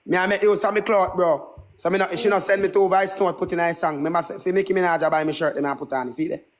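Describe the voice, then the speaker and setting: A man's voice: sits high, fairly even intonation, fast. A Jamaican man in his twenties who speaks Patois in a brash, boastful, repetitive way, ranting casually on a livestream. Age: 20s. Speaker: livestream host.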